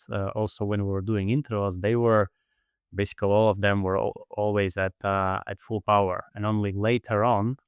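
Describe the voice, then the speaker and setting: A man from Latvia speaking reflectively as an interview guest, halting now and then as he searches for words. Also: fairly low pitch, clean tone, no room sound, studio quality. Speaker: podcast guest, endurance athlete and heart rate expert.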